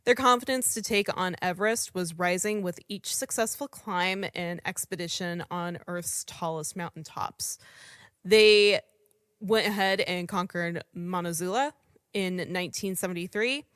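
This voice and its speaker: A woman's voice: lowish voice, unhurried, fairly bright tone, smooth, no echo, clean studio sound. A woman hosting a podcast, telling a true story in a casual, conversational way and stopping now and then to apologize for how she says names. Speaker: podcast host.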